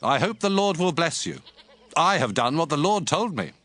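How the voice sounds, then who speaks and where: A man's voice: fairly high pitch, very animated, normal speed, a bit bright. A man playing a commanding, devout ancient king in a dramatized audio Bible. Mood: assured. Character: King Saul, commanding, stern, devout Israelite king.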